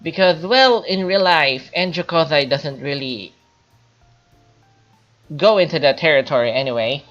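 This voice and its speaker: A woman giving an informal spoken game review in a hesitant, halting, explanatory way. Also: deep voice, lively intonation, dull audio. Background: light background music.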